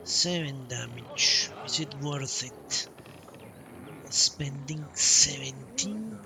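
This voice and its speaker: A man's voice: medium pitch, big pitch swings, very slow, creaky, noisy background. A man doing casual live commentary on a game he plays, thinking aloud and muttering short questions to himself. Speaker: game streamer. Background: game music.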